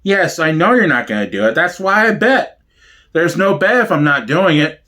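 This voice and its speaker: A man's voice: fairly high pitch, sing-song, normal speed, pushed and bright. A man giving a theatrical one-man dramatic reading of sitcom dialogue, switching between characters.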